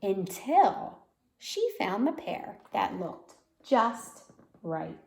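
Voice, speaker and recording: A woman reading a children's storybook aloud like a teacher, pausing to explain words and put questions to listeners. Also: mid-range voice, big pitch swings, creaky, a little mellow. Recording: roomy, echoey sound.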